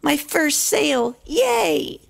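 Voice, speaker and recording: A woman's voice: high-pitched, unhurried, gravelly, pitched above the performer's own voice, full character voice. A woman voicing a shy little filly pony selling vegetables. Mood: excited. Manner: soft, nervous, childlike.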